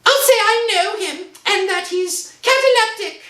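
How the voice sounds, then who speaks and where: A woman's voice: extremely high pitch, animated, moderate pace, fairly bright tone. A woman playing a nervous, insistent young wife in an old-time radio drama, pleading and evasive. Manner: pleading, brushing things off. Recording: echoey room sound.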